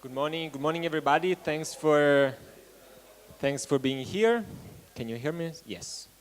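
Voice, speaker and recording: A man hosting an event, giving a halting welcome speech to an audience and often restarting his phrases. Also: fairly high pitch. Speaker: event host.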